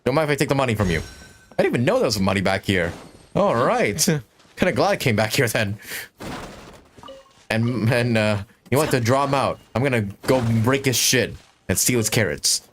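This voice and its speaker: A female game streamer chats casually in an unusually deep voice. Her intonation is lively and varied, and the mic carries some noise and clicking. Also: normal speed. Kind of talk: casual live gameplay commentary. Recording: clearly noisy mic with clicks.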